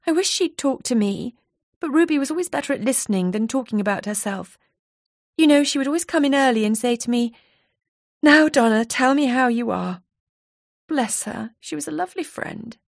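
A woman's voice: high-pitched, expressive, normal speed, gravelly, dry recording, clean studio sound, voice pushed up, heavily acted. A woman voicing a nervous, chatty female office worker who confides eagerly and a little breathlessly. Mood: confiding.

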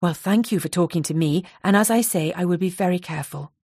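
A woman's voice: fairly low pitch, quick pace, darkish tone, slightly rough, no room sound, studio quality. A woman voicing a tactful amateur sleuth. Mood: earnest. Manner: earnest, courteous, probing.

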